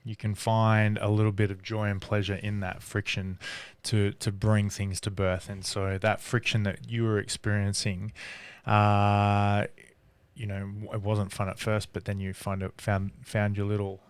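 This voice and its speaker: A man's voice: lowish voice, unhurried, clean tone, dry recording. Joking man co-host, dry sound. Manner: joking, calm, reflective asides. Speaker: podcast co-host. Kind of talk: casual conversation.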